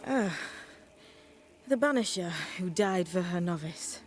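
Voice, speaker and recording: A mocking witch's novice in a dramatic video game. The delivery is very slow and taunting, with a sinister edge. Clear ambient noise with horse sounds surrounds the voice. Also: high voice, animated.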